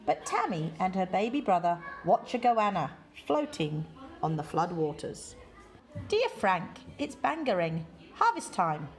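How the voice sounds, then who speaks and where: A woman reading a children's picture book aloud in deliberate, measured phrases with expressive emphasis. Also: mid-range voice. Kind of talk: read-aloud children's story.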